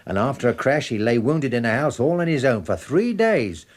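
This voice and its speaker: A genial middle-aged London dad, down-to-earth and fond of gentle banter. His voice sits at an ordinary pitch, in the style of 1950s British radio comedy. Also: sing-song, moderate pace. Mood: gentle, serious. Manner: kindly, chuckling banter. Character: genial, down-to-earth London working-class father, gently joking.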